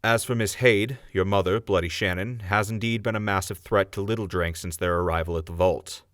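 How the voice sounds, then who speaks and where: A man's voice: deep voice, fairly even intonation, brisk, fairly bright tone, no room sound, studio quality. A man voicing a stern town judge who speaks formally and scolds the defendants.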